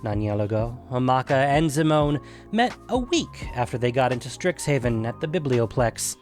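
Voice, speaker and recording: Expressive male storyteller with lively pitch. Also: moderate pace, soft background music. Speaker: narrator and podcast host. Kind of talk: scripted story narration.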